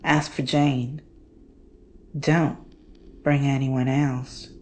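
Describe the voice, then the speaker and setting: Woman playing a low-voiced, secretive informant. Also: flat delivery, drawn-out words, darkish tone, slight background noise, heavily acted. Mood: guarded, secretive. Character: guarded, secretive female informant reading her note. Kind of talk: horror audio drama letter reading.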